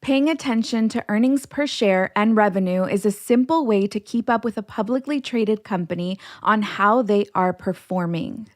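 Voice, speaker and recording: A woman's voice: mid-range voice, moderate pace, hardly any pauses, dry recording, clean studio sound. A woman hosting an educational podcast, explaining things step by step directly to her listeners. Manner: instructional, explains terms step by step. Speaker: podcast host, financial educator.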